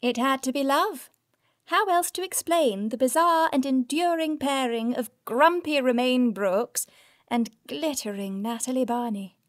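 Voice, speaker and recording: An actress playing an irreverent, arch storyteller who narrates and comments in an audio drama. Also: female voice, high voice, measured pace, no room sound, clean studio sound. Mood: wry. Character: wry, irreverent female storyteller commenting on a scandalous life.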